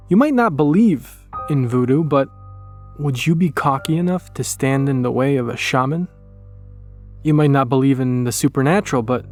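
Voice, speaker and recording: Male narrator for horror audiodrama, mid-pitched, measured and portentous, music bed underneath. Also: a little mellow. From Poland. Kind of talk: scripted dramatic narration.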